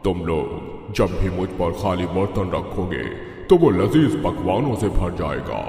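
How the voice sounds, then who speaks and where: In a long reverberant hall with music behind, a man plays a husky, solemn old benefactor from a cartoon fable. He speaks very slowly and kindly, in a low voice. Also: animated, warm tone, exaggerated voice. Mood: solemn, benevolent. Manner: slow, solemn, benevolent. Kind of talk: Hindi cartoon story acting.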